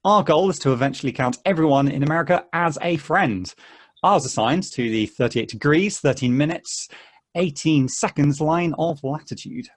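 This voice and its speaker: A man's voice: a little high, close to an everyday voice. Man acting an earnest, friendly, chatty hiker. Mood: friendly, enthusiastic. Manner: halting, polite, chuckly, eager. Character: earnest, chatty, friendly male hiker, eager to befriend everyone. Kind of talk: stage play table read.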